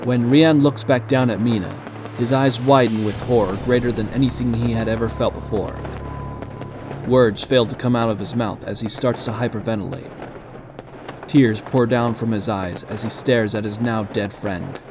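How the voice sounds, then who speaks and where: A man's voice: medium pitch, fairly even intonation, moderate pace, noisy background. A man narrating an audio drama from a script in a storytelling style.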